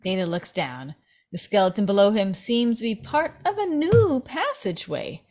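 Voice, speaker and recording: A woman's voice: medium pitch, sing-song, normal speed, darkish tone. A woman reads film stage directions aloud at a table read. Her voice is mid-range, delivered as scripted narration.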